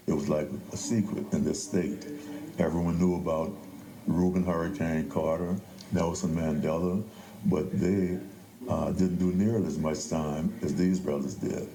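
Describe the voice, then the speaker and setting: A man's voice: sits low, warm tone. An older man, a playwright telling his story conversationally in an interview. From New Orleans, Louisiana. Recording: echoey room with crowd murmur.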